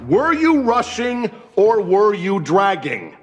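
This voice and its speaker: An actor playing an abusive middle-aged music teacher, menacing and profane, swinging from quiet threats to shouts. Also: male voice, sits high, huge pitch swings, slow pace, exaggerated voice. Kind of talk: film acting.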